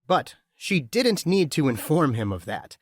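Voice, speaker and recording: A man's voice: on the high side, very animated, a little slow, no echo, clean studio sound. A man reading scripted fiction narration as an audiobook narrator.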